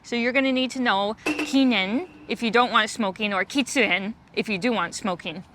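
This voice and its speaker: A friendly American woman explains travel phrases in a patient, easygoing way. Her voice sits slightly high. Steady street noise runs behind her. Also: normal speed. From United States. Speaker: guest language guide. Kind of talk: casual explanatory chat.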